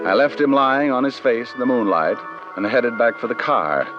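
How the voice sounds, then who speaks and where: An American man playing a wry, hard-boiled private detective in vintage radio drama style, with flippant deadpan delivery. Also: medium pitch, normal speed, close to an everyday voice. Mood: wry narration. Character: wisecracking, hard-boiled male private detective narrating his case. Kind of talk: 1940s-50s radio detective acting and narration. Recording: dull vintage radio recording with music.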